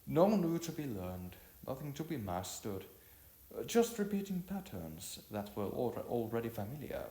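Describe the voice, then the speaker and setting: A man reading a story aloud as an amateur narrator, haltingly, often repeating and correcting his words. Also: medium pitch, big pitch swings, warm tone, roomy sound.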